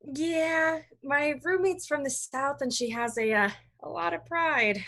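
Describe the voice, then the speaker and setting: A woman playing a guarded, conflicted young college student in a staged reading, halting and sighing as the character deflects. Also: sits high, creaky, fairly bright tone, exaggerated voice. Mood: awkwardly amused. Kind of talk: live staged reading of a play over video call. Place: online video call staged reading.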